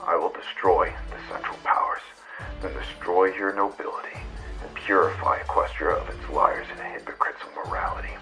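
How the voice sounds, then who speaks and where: A man's voice: deep voice, fairly even intonation, normal speed, bright, forceful tone, soft background music, low-quality recording. A man playing a menacing, righteous avenger who delivers a solemn, accusing monologue in an amateur audio drama. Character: menacing, righteous male avenger leaving a taped threat.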